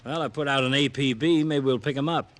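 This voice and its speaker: An actor playing a gruff, sarcastic, tired police lieutenant in a 1950s radio crime drama, brusque and exasperated. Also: male voice, mid-range voice, brisk, a bit bright, close to an everyday voice. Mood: businesslike.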